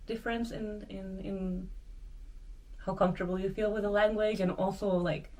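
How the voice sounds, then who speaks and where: A woman's voice: medium pitch, moderate pace, slight background noise. Young woman, distant mic, filler-heavy chat. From Finland and Peru, grew up in Mexico. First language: Spanish. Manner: relaxed, many "like" fillers, laughs easily. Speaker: guest. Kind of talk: casual chat. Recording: recorded from a distance.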